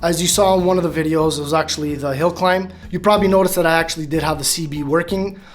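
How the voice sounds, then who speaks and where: A man's voice: a little high, steady tone, fast, soft background music. A man in his thirties casually explaining a truck radio install to camera, rambling with restarts and small laughs. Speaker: vlogger. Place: dim room at home, bike behind. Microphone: camera close up, facing it.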